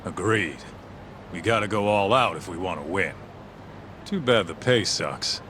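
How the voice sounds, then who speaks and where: An actor playing a streetwise, grumbling detective's sidekick in a video game, skeptical and slangy. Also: male voice, low-pitched, measured pace, sharp tone, a little hiss or hum. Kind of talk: English video game voice acting.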